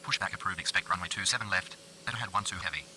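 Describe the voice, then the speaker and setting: A man's voice: sits low, lightly acted. A man voicing an airline pilot in a game, reading back radio calls in a clipped manner. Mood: brisk. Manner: quick, clipped radio readbacks. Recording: noisy game radio audio.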